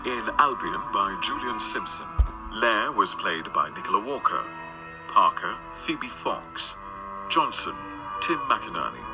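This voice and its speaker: A man with a low voice announces the closing credits of a radio drama. He reads from a script, with music playing clearly behind him. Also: big pitch swings, measured pace, poor audio.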